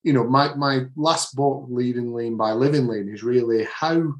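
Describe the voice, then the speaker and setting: Man, Manchester accent, author being interviewed, earnest with frequent restarts, middle pitch, roomy sound. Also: normal speed. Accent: Manchester English. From Manchester area, England. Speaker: author and leadership coach. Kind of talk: podcast interview answers.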